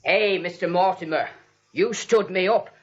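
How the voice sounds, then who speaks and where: An actor playing an eager, oblivious, chatty policeman with rough grammar in a 1940s radio comedy. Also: high-pitched, a little slow, exaggerated voice. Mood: friendly, blustering. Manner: chatty, excitable, ungrammatical storytelling. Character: eager, oblivious Brooklyn policeman and would-be playwright. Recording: muffled old 1940s radio recording.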